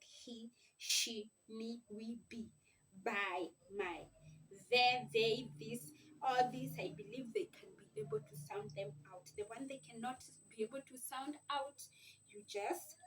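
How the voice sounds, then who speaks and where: A woman giving an informal reading lesson as a parent, explaining things in an emphatic, repetitive, teacherly way. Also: a little high. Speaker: parent teaching early reading, video host. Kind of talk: informal instructional explanation to camera. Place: at home. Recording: distant mic, faint background noise, clicks.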